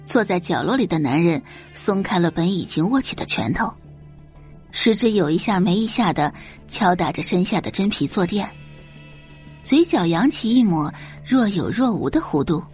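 A female narrator reads dramatic fiction in a mellow, dark-toned voice. Her intonation is lively and varied, with soft music running underneath. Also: on the low side, normal speed. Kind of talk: dramatic scripted audiobook narration.

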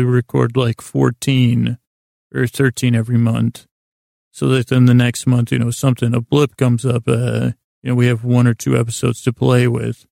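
A middle-aged American man hosting a sleep podcast, rambling in a gravelly voice with halting, self-deprecating detours that trail off and circle back. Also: medium pitch, darkish tone, no echo, clean studio sound. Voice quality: gravelly, creaky. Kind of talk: rambling, meandering bedtime monologue.

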